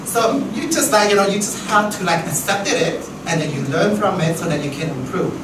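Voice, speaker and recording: A man's voice: high-pitched, expressive, a little fast. A man from Southeast Asia, for whom English is not his first language, leading a teacher workshop in a chatty way that keeps asking "right?". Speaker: teacher trainer. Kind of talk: workshop discussion. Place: classroom. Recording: echoey room, far mic, noisy.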